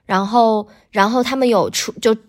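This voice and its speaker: A woman's voice: a little high, steady tone, moderate pace. A woman speaking casually as a voice actor guest on a podcast, explaining things and often rephrasing herself as she goes.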